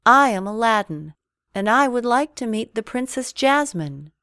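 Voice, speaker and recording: A woman's voice: fairly high pitch, lively intonation, a little slow, a bit bright, dry recording, studio quality, pitched above the performer's own voice. A woman voicing a small, poor, eager young boy in an earnest storybook manner. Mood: earnest, bold.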